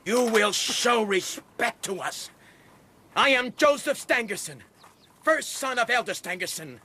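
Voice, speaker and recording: An actor playing a pompous, menacing young religious zealot in a radio drama, speaking formally. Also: male voice, extremely high pitch, lively intonation, a little slow, sharp tone, a little hiss or hum, heavily acted. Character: pompous, menacing young church elder's son, pious suitor.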